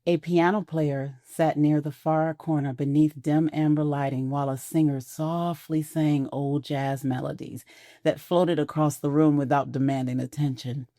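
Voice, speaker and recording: A woman's voice: low-pitched, fairly even intonation, normal speed, warm tone, no room sound, clean studio sound. A woman reading scripted narration for a romantic audio drama.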